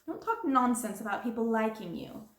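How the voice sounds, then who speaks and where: A woman's voice: on the high side, animated, a little fast, dark tone, echoey room, pitched above the performer's own voice, lightly acted. A woman voicing a harsh, domineering middle-aged schoolmistress in a storybook reading, cold and scornful. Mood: commanding.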